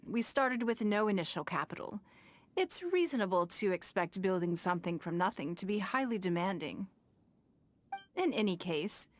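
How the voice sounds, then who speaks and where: An actress playing a poised, shrewd wealthy businesswoman in a video game, speaking in a measured, elegant, formal way. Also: on the low side, slight background noise.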